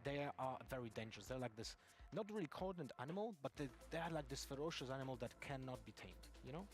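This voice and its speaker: A man's voice: medium pitch, animated, fast, faint music behind. A man giving eager esports match analysis on a broadcast, running his phrases together.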